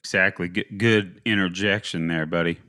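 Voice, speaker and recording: A male podcast host with a low voice, speaking in an earnest and grateful tone. He often halts with fillers as he talks. The recording is dry and close. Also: steady tone, sharp tone, dry recording, big-room sound. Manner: earnest, thankful, halting with fillers. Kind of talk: casual podcast chat.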